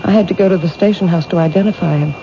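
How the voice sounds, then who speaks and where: A frightened, timid witness, played by a woman with a soft, faltering voice. The old radio broadcast sounds dull and is thick with hiss. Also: lowish voice, racing, close to an everyday voice. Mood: recounting. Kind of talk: 1970s radio drama acting.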